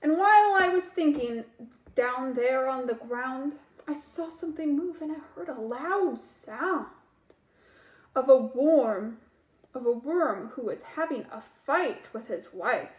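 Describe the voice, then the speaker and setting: A woman's voice: high voice, animated, slow pace, echoey room. A woman doing a stammering schoolboy. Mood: earnest. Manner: stammering, earnest. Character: flustered young schoolboy making excuses.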